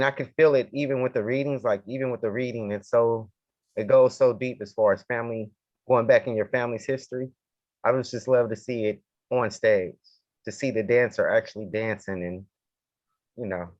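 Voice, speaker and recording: A man's voice: mid-range voice, a little mellow, dry recording. A man giving casual feedback as a cast member, repeating and rephrasing himself, on a dry lo-fi connection.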